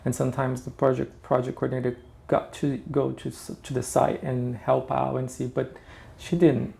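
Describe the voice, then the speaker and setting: Middle-aged brazilian guy, gravelly and warm, chatty, keeps restarting sentences. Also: medium pitch, monotone, moderate pace. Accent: Brazilian Portuguese. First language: Portuguese. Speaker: interior designer guest. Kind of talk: casual interview chat.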